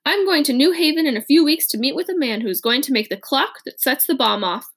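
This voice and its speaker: A woman voicing an eager, intense young male inventor in an audiobook, earnest and quick to rally. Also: high-pitched, expressive, a bit bright. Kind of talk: audiobook character reading.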